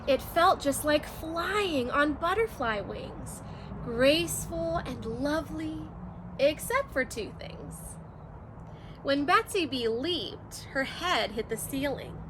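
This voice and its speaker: High-voiced woman reading a rhyming story. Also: animated, slow pace, background noise. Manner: sing-song, rhythmic storytelling. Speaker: teacher reading to children. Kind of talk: reading a rhyming picture book aloud.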